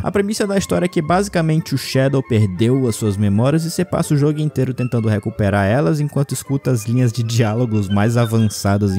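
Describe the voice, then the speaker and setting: A man's voice: medium pitch, dark tone. A young Brazilian man delivering comedic scripted narration in a slangy, sweary, sarcastic manner. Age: young adult. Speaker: gaming video creator. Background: background music.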